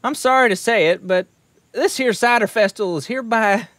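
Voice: mid-range voice, a little fast, fairly bright tone, dry recording, lightly acted. A performer voicing an earnest male farm pony making a folksy announcement. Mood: announcing. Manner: folksy, announcing over a crowd. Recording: crowd noise behind.

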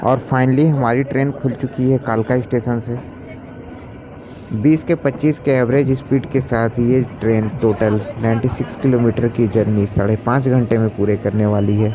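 A man's voice: medium pitch, steady tone, normal speed, dull audio, background noise. A young man narrating a travel vlog as its host. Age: young adult. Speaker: travel vlogger.

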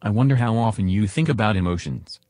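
A man's voice: fairly low pitch, fast talker, mellow, no room sound, close to an everyday voice. A man voicing an earnest, agreeable, curious chatbot companion in a reenacted interview.

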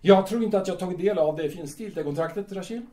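Man playing echoey, high-pitched bitter bohemian playwright. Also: warm tone, natural voice. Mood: reluctant, sulky. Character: bitter, proud, evasive bohemian male playwright in 1890s Paris. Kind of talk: audio drama acting. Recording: echoey room.

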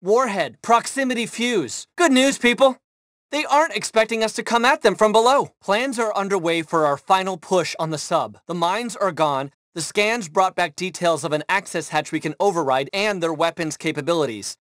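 High-voiced smug tech mogul. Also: male voice, moderate pace, a bit bright, dry recording, studio quality. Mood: commanding, confident. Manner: breezy, self-important, assured. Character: smug, self-assured rich tech mogul commanding a mission. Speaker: video game character. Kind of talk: game mission dialogue over comms.

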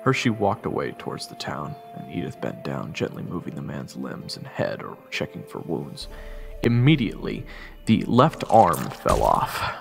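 Man acting lively young fantasy soldier, low and creaky. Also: normal speed, heavily acted. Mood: grave, narrating. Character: Xander, curious, compassionate young male army private. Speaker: narrator and lead actor in an audio roleplay. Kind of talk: fantasy audio drama, first-person narration and dialogue. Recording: reverberant, with music underneath.

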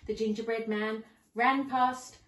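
Woman storyteller, teacher style: animated, slow and deliberate narration for small children, mic at some distance. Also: on the high side. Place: living room at home. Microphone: camera a few metres away. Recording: roomy, distant camera microphone.

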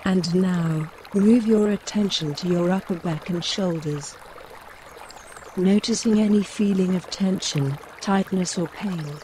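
A woman gently guiding a mindfulness meditation in measured short phrases, with pauses between them. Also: on the low side, slight background noise. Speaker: meditation guide. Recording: clear running stream water behind the voice. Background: trickling woodland stream.

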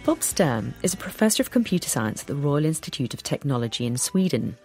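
A woman's voice: deep voice, sing-song, a little fast, a little mellow, faint music behind. A woman presenting a radio documentary, narrating in a scripted, inquisitive way.

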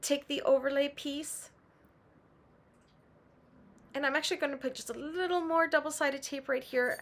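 Woman, middle-aged, higher pitch, raspy after laryngitis; practical tutorial host. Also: a bit bright. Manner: patient, practical, with some "um" and restarts. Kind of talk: step-by-step sewing instruction. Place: home sewing room.

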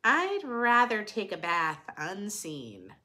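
Woman as a wary, animated young boy. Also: mid-range voice, takes it slowly, pitched below the performer's own voice, heavily acted. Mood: doubtful. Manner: reluctant, doubtful replies. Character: wary, hard-to-please young boy customer.

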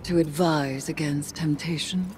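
An actress playing a grim, brooding mystic bent on vengeance in a video game, solemn and formal, often half-whispering. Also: female voice, low voice, fairly even intonation, a little slow, darkish tone, faint music behind. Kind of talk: dramatic video game dialogue.

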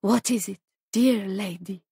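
A woman voicing a sly elderly foreign man in a storybook, gleefully courteous, with theatrical, insinuating, drawn-out phrasing. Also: mid-range voice, creaky, mellow, breathy edge, dry recording, clean studio sound, exaggerated voice.

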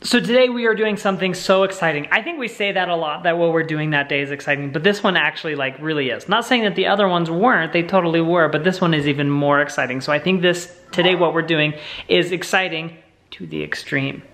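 A man's voice: on the high side, quick pace, fairly bright tone. A young American man chatting in an upbeat, playful way to a camera held at arm's length indoors, as a family vlogger. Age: young adult. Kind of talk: casual, chatty vlog talk to camera. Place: indoors at home.

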